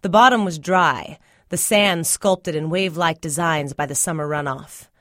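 A woman's voice: sits low, moderate pace, a bit bright, dry recording, studio quality. A woman reading a first-person literary short story aloud as scripted narration. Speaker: story reader.

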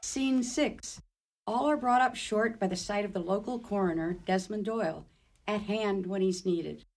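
A woman's voice: medium pitch, unhurried. A woman reading scripted stage directions as the narrator of a radio play.

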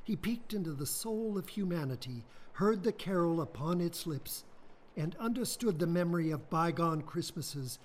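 A man narrating a radio play in a measured, solemn way. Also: a little high, animated, a little hiss or hum. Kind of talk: scripted storybook narration.

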